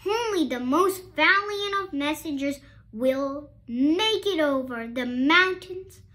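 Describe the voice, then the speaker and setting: A woman's voice: high-pitched, animated, slow pace, pushed and bright, full character voice. A woman voicing a bold schoolgirl who declaims like a heroic messenger in a storybook, grand and dramatic. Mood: grandly dramatic. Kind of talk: storybook character voice.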